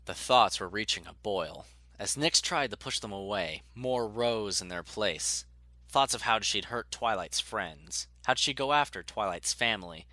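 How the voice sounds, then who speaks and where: A man gives a dramatic, scripted audiobook narration. His voice is fairly low, with a bright, forceful edge. The sound is dry, with no room to it. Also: animated, measured pace, no echo.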